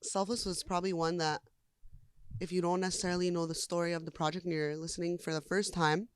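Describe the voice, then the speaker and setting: A woman's voice: lowish voice, level tone, fast talker, smooth, no room sound, clean studio sound. A young adult woman, a singer, talking casually in an interview, chatty with lots of "like" fillers and easy laughter.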